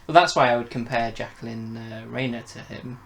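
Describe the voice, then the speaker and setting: Gravelly male reviewer, casual chat. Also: medium pitch, a little fast, fairly bright tone. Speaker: podcast reviewer.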